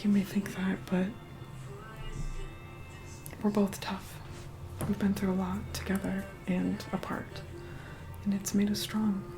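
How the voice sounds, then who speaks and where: A woman playing an aloof, reluctantly caring vampire woman in an ASMR roleplay, soft-spoken and breathy, often whispering, slow and condescending. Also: medium pitch, monotone. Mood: wistful, tender. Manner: slow, condescending, grudgingly kind. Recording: loud music behind the voice. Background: music.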